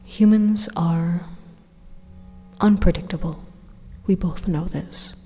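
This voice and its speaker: A woman playing an evil, persuasive supercomputer in an ASMR roleplay, calmly menacing in a breathy, hushed, deliberate monologue with dramatic pauses. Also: fairly low pitch. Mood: calm, insistent. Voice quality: breathy, whispery. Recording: long hollow reverb on the voice.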